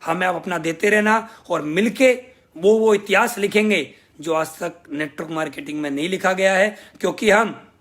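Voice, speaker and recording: A man's voice: high-pitched, fairly bright tone. A young man leading a sales team, giving an emphatic motivational speech and repeating his thanks again and again. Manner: emphatic, grateful, repeats phrases for effect. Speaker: network marketing team leader.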